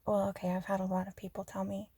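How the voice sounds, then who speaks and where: A woman from Arizona chatting softly and rambling in a half-whispered ASMR style, joking about herself. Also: medium pitch, completely flat delivery, fast, breathy edge, dry recording. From Arizona, USA. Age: adult. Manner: soft, half-whispered, self-deprecating, giggly. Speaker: ASMR creator. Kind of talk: soft-spoken rambling ASMR chat.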